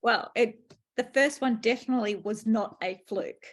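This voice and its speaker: A woman's voice: on the high side, normal speed. A woman hosting a casual book interview, asking questions conversationally. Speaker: podcast host.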